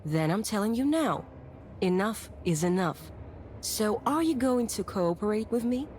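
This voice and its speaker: A woman's voice: mid-range voice, animated, a little slow, a little mellow, slight background noise, close to an everyday voice. A woman playing a bold, imperious young journalist in a period visual novel, haughty and assertive. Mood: assertive, demanding. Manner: haughty, assertive, crisp commands.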